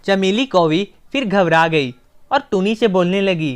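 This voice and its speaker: A woman's voice: medium pitch, measured pace, smooth. A woman narrator reading a scripted children's story.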